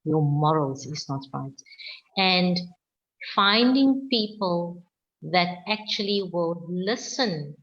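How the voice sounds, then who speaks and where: A South African woman winemaker answering questions on a video call, speaking slowly and with firm emphasis. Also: fairly low pitch, lo-fi sound. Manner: slow, deliberate, emphatic. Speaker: winemaker guest.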